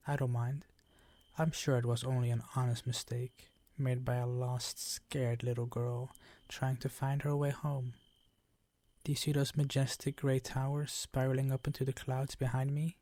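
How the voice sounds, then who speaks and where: A man voicing a suave, predatory vampire count in an intimate ASMR roleplay, speaking formally with drawn-out, condescending, teasing courtesy. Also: medium pitch, a little mellow, dry recording, close to an everyday voice. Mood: smooth, condescending.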